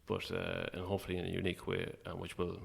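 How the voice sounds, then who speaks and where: A man's voice: low-pitched, fast, vocal fry. A man chatting casually as an interview guest about his own creative work, in a hesitant, reflective way. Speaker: playwright and actor, interview guest.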